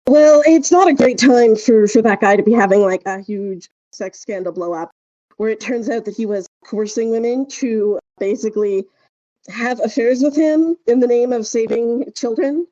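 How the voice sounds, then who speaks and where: A woman co-hosting a podcast in casual chat, telling things in a rambling, halting way. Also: fairly high pitch, warm tone, dry recording, dull audio. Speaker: podcast co-host.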